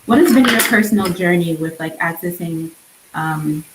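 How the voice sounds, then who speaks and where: A woman with a lowish voice hosts an informal livestream. Her phrasing is hesitant and conversational. The room rings with echo, and there is faint noise behind her. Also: moderate pace. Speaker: event host and interviewer. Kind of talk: casual livestream hosting.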